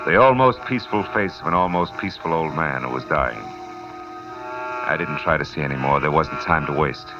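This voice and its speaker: Very deep-voiced hard-boiled private eye. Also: male voice, a little fast. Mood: brooding, wry. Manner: hard-boiled, wry, clipped. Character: tough, wry male private detective narrator. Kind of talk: 1950s radio noir acting and narration. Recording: muffled vintage radio with music.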